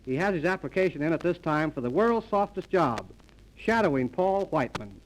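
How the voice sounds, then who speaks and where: A man announcing scripted 1930s radio comedy in a deadpan, mock-formal manner, on an old transcription disc. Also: fairly high pitch, a little fast, a little hiss or hum, rough recording.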